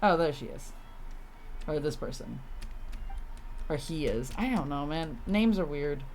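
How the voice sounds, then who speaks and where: An exasperated streamer talks through a video game in a casual, grumbling way, with a medium-pitched voice and some swearing. Music plays behind the voice, along with the clatter of typing. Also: expressive, measured pace, darkish tone, a little hiss or hum. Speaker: livestreamer playing a video game.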